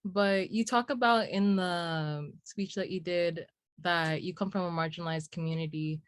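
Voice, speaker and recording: A woman's voice: on the low side, steady tone, normal speed, dry recording. Young woman interviewer on a dry video call. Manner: chatty, friendly questions with "like" fillers. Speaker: interviewer, host. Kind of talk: casual interview conversation. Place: home room, indoors.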